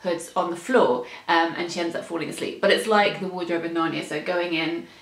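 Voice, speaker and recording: A woman's voice: lowish voice, fairly even intonation, brisk. Young woman chatting in an echoey room. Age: young adult. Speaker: book discussion co-host. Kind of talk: casual book chat. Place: small indoor room with bookshelves.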